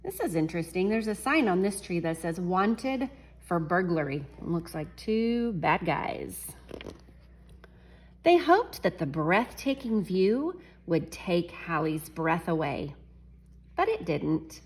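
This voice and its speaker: Brief: lower-pitched woman teacher, animated storytime reader, addresses kids. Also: slow pace, husky. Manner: animated, chuckles while reading, talks to children. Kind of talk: children's read-aloud storytime.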